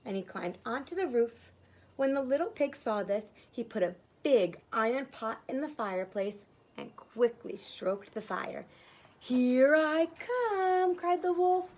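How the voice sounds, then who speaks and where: A woman's voice: on the high side, big pitch swings, measured pace, darkish tone. A woman teacher reading a children's story aloud, narrating expressively with plain explanatory asides.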